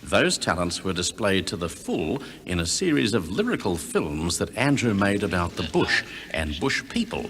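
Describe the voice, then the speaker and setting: A man's voice: deep voice, sing-song, moderate pace, fairly bright tone, a little hiss or hum. A man with an Australian accent reading scripted narration for a documentary tribute.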